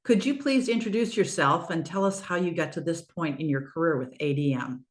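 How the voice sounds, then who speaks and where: She is a woman hosting a podcast, and her voice sits somewhat low. She welcomes listeners in a friendly, upbeat way and introduces the guests. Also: brisk. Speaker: podcast host.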